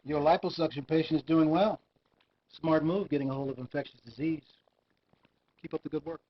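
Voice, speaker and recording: A man's voice: on the high side, steady tone, a little fast, natural voice. A man acting as a stern supervising doctor in a live-action hospital game. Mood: approving. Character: stern supervising doctor judging a subordinate's work. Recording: dull lo-fi game video audio.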